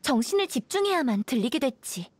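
A woman's voice: sits high, big pitch swings, brisk, darkish tone, slightly rough, full character voice. A woman voicing a guarded, melancholy young village outsider in a video game, speaking earnestly in a subdued, confiding way. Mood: serious, hushed. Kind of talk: video game character dialogue. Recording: faint background music.

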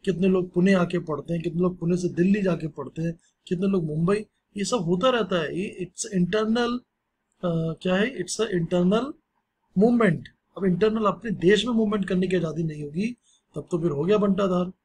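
A male teacher explaining a social studies lesson in a relaxed, conversational way, mixing in English phrases. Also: high voice, normal speed, breathy edge.

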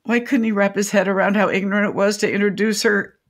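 An actress playing an opinionated, garrulous older widow in a comedic radio play, with a wordy, theatrical, dryly sardonic delivery. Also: female voice, medium pitch, steady tone, fast talker, natural voice. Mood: irritated, sardonic. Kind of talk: comedic radio drama acting.

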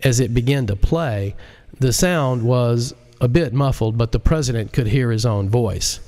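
A man's voice: mid-range voice, unhurried, dark tone. Man reading a novel aloud. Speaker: author reading own audiobook. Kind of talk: scripted audiobook narration.

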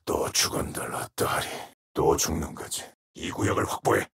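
A man voicing a menacing, brooding shadow wraith assassin in a video game, with a hoarse, whispery rasp and slow, ominous, terse delivery. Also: rumbling low, fairly bright tone, heavily acted. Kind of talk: video game character voice lines.

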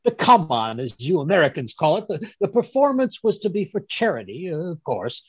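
A man playing a genial, fussy older English gentleman, chattily recounting his adventures in old-time radio drama style, with a husky voice. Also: on the high side, big pitch swings, normal speed, no room sound. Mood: amused. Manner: chatty, chuckling, rambling storyteller with stammered asides. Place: home room on a group video call. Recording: dull, dry video-call audio.

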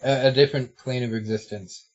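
A man's voice: medium pitch, fairly even intonation, brisk, a little mellow, highs cut off. A man in casual group banter on a voice call, often stammering and repeating words.

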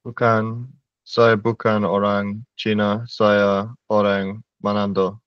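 Young man student on headset, low monotone, slow, muffled dry call audio. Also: clear, resonant tone, dry recording. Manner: slow, careful learner repeating phrases. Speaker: language student. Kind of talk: online language lesson. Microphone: headset on video call.

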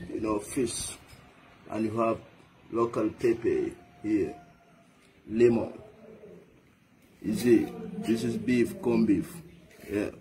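A man hosting a casual promotional vlog, talking in slangy phrases and repeated catchphrases. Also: medium pitch. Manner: repetitive, slangy Patois phrasing. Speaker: music promoter and vlog host. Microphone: phone camera a few metres away. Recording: noisy, distant phone video audio.

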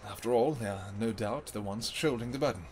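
A man voicing an ancient, protective vampire king in an audio roleplay, who shouts urgent commands and then turns to low, tender murmuring. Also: moderate pace, slight background noise, lightly acted. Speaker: voice actor.

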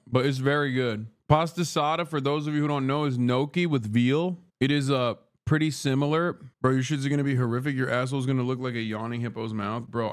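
Brash man streaming while eating. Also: medium pitch. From Turkey. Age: 30s. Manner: brash, profane, joking, talks with food in mouth. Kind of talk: casual livestream chat while eating. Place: small wood-paneled room, indoors. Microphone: studio mic on boom arm close to face.

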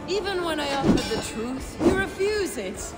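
An actress voicing a zealous female villain in a video game, speaking in a measured, cryptic, taunting way. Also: sits high, animated, loud music behind the voice. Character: cold zealous female villain, order leader. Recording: game audio with loud score.

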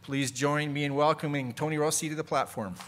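A man's voice: fairly high pitch, fairly even intonation, a little fast, fairly bright tone, a little hiss or hum. A man moderating a conference, introducing the next speaker from notes and chatting with the audience. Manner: reads from notes, then jokes with the audience. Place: conference hall.

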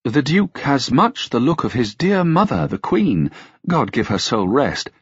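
A man voicing a flattering young noblewoman at court, with courtly, sweetly persuasive speech. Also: mid-range voice, unhurried, breathy edge, no room sound, pitched above the performer's own voice, heavily acted. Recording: dull, dry, lo-fi sound.

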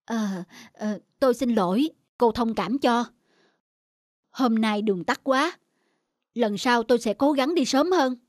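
A woman's voice: on the high side, measured pace, no echo, studio quality, natural voice. A woman voicing an anxious middle-aged cartoon delivery man with a northern Vietnamese accent, stammering, apologetic and imploring. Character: anxious, pleading middle-aged male pizza delivery driver. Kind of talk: cartoon dubbing. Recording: music under the dialogue.

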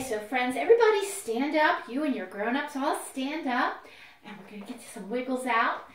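A mature woman hosting storytime for young children, speaking expressively with drawn-out emphasis. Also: fairly high pitch. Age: middle-aged or older.

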